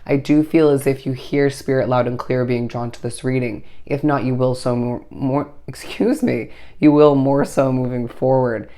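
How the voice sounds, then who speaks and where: A tarot reader talking straight to camera, hoarse from a recently lost voice. The delivery meanders through intuitive hunches and keeps turning back to the listener with reassurance. The pitch sits right in the middle. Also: steady tone, moderate pace, few pauses, dark tone. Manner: reassuring, addresses the listener directly. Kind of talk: solo tarot reading to camera.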